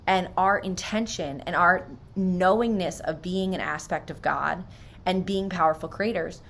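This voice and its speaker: An American woman with a slightly low voice talks like a vlogger sharing her own experiences. Her delivery is informal and sincere, mixing everyday storytelling with spiritual reflection. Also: a little slow, vocal fry, fairly bright tone, a little hiss or hum. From New Jersey, United States. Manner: informal and earnest, frequent "like" and "so".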